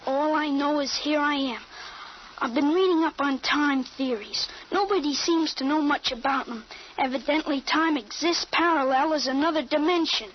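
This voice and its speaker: High-voiced, self-assured boy played by a woman, old radio drama. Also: moderate pace, close to an everyday voice. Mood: confident, explaining. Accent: American. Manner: articulate, matter-of-fact, adult vocabulary. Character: thirteen-year-old boy with a grown man's mind, precise, self-assured. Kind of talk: 1940s-50s radio drama acting. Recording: muffled, noisy old radio recording.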